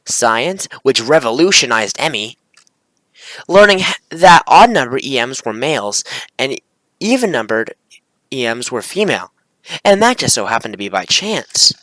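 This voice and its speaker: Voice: medium pitch, big pitch swings, moderate pace, fairly bright tone, no room sound, low-quality recording. A video creator reading their own script aloud, stumbling and correcting themselves, with casual slangy asides. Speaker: video creator and amateur visual novel maker.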